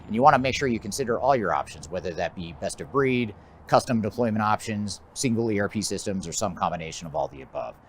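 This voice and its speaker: An American man giving a measured, methodical explanatory presentation as a business consultant, his phrases often trailing off at the end. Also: lowish voice, lively intonation, slight background noise. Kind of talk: explanatory presentation of a trends list.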